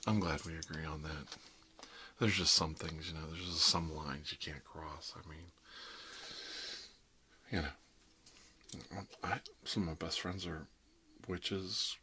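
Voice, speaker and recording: A man's voice: rumbling low, fairly even intonation, slow, long pauses, sharp tone, slight background noise, heavily acted. A man playing a chatty, friendly dentist in an improvised ASMR roleplay, talking in a hesitant, rambling way that drops into half-whispers. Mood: awkward, defensive. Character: chatty, friendly emergency dentist treating monsters, mildly bigoted. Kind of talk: ASMR roleplay, improvised one-sided conversation.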